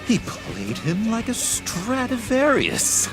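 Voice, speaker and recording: Wildly swooping, eager oddball villain sidekick. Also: male voice, sits high, slow pace, music in the background, heavily acted. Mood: gushing. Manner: excitable, swooping, gushing. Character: eager, needy, unstable man, childlike sidekick villain. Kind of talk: animated video game acting.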